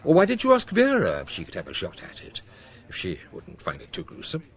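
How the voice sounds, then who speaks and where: An actor playing a brisk, sardonic police chief giving orders in a radio drama, with a dry, authoritative manner. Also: male voice, a little high, huge pitch swings. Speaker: senior police officer.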